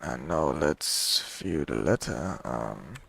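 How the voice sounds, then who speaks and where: Laid-back young man with creaky voice. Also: extremely low pitch, animated, slow pace, a bit bright. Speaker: game streamer. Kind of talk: casual gameplay commentary.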